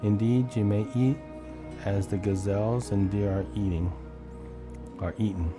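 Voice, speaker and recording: A man with a fairly low voice reads scripture from a script. Instrumental music plays clearly behind him. He now and then trips on a word as he goes through the verses. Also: steady tone, a little slow. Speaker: scripture reader. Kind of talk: Bible reading, scripted narration.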